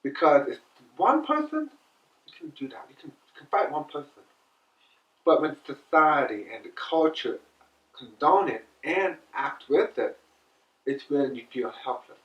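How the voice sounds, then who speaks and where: A man's voice: on the high side, sing-song, a little slow. A man speaking earnestly and emphatically in a documentary interview about being bullied. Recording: dull, distant, echoey recording.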